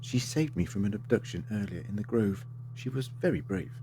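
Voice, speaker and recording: A man's voice: lowish voice, expressive, brisk, noisy background, natural voice. A man acting in an audio drama as a polite, assured time-travelling adventurer, articulate and theatrical with a wry streak. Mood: earnest.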